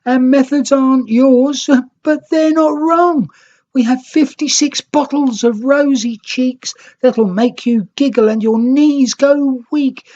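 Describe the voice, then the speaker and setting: A narrator as a very high-pitched, earnest little creature. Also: takes it slowly, a little mellow, highs cut off. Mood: earnest pleading. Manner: earnest, exclaiming, plaintive. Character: small, weary, hopeful band of seafaring storybook creatures.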